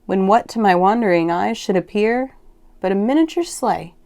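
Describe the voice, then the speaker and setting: A woman reading a rhyming poem aloud for storytime in an expressive, measured storytelling manner. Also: medium pitch. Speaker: storybook reader for a library read-aloud.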